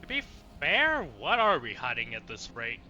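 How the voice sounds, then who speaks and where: A man's voice: medium pitch, wildly swooping pitch, moderate pace, pushed and bright, dull audio, soft background music. A man doing casual let's play commentary, reacting with muttered asides and swearing, and reading dialogue options aloud.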